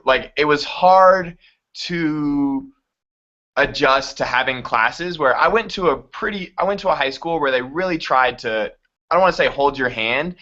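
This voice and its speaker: Man, moderator, bright forceful voice, upbeat chatty, lo-fi sound. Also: mid-range voice. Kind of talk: hosting a live web chat.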